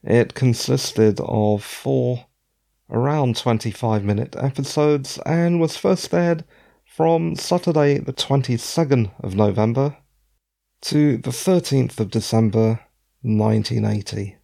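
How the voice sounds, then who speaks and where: Middle-aged guy hosting a podcast, hoarse and croaky, easygoing rambly chat, dark mellow tone. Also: medium pitch, slow. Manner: chatty, digressive, self-deprecating. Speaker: podcast host. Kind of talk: casual podcast commentary.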